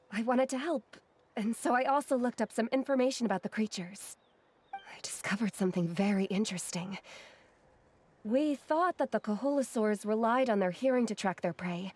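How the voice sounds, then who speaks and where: A woman voicing a quiet, shy young woman who speaks hesitantly and modestly. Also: fairly high pitch, natural voice. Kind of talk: game voice acting.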